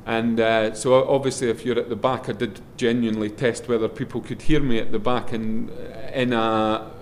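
A middle-aged man with a loud voice, a guest in a relaxed interview, rambling a little with frequent ums and restarts as he talks. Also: medium pitch, normal speed, a little hiss or hum. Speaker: guest, head of a schools group.

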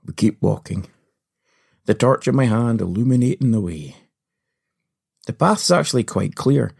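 A man telling an adventure story to children in a playful, animated style with comic understatement. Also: mid-range voice, normal speed, creaky, slightly rough. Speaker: podcast host and storyteller.

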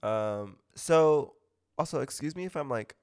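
A man's voice: mid-range voice, animated, a little slow, a little mellow, smooth. A man hosting a podcast in a casual, gossipy chat, talking with a stuffy nose and gushing, opinionated comments. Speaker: podcast host.

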